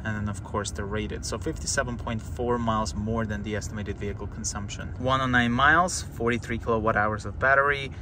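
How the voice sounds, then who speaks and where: A man's voice: on the low side, fairly even intonation, a little fast, few pauses. A young man in his twenties, hosting a car channel, chatting and explaining step by step into a handheld phone, thinking aloud as he goes. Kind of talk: casual walkthrough vlog. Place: inside a parked car, later outdoors in a winter parking lot. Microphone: phone camera at arm's length. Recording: noisy car and street background.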